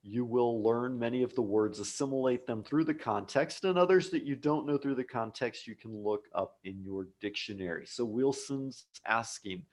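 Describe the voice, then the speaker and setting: A man's voice: mid-range voice, moderate pace, hardly any pauses, a little mellow. An American man teaching a live English lesson online, speaking patiently and repeating key words for learners. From United States. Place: home office abroad.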